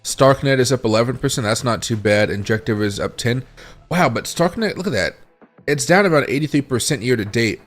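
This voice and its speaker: A tired man with a raspy voice hosting a casual crypto livestream, thinking aloud as he reads charts and answers chat. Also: medium pitch, lively intonation, a little fast, soft background music. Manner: rambling, thinks aloud while scrolling charts and reading chat.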